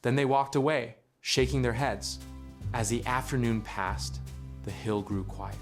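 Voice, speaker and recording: A man's voice: medium pitch, steady tone, measured pace, gravelly. Man narrating a children's storybook over music.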